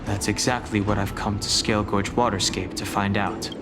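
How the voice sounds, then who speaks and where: Low-voiced, reserved man playing a young guardian. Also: fairly even intonation, lightly acted. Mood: solemn. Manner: formal, measured, earnest. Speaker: game character voice actor. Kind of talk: anime-style game voice acting. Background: game music.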